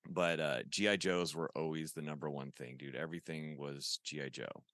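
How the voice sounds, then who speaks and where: A man's voice: extremely low pitch, fairly even intonation, moderate pace, fairly bright tone, no room sound, clean studio sound. A man in his forties chatting casually as a podcast guest, rambling and enthusiastic, often saying "dude". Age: 40s. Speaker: podcast guest, toy artist. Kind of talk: casual chat.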